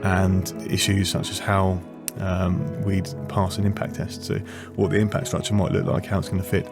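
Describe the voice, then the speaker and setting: A man's voice: low-pitched, monotone, normal speed, creaky, music in the background. Rambling man recalling design work. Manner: rambling, self-correcting, laughs easily. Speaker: guest, former F1 designer. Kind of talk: casual interview chat.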